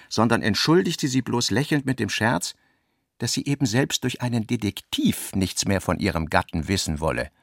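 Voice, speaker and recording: Creaky-voiced male literary narrator, close and dry. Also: medium pitch, no echo. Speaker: narrator of a radio drama. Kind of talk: literary scripted narration, voicing reported dialogue.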